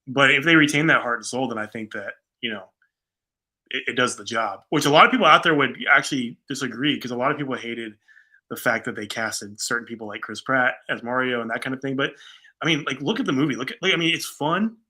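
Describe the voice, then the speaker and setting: A man's voice: medium pitch, fast talker, sharp tone. A man in his thirties co-hosting a casual movie discussion, talking emphatically like an opinionated fan. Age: 30s. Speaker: podcast co-host.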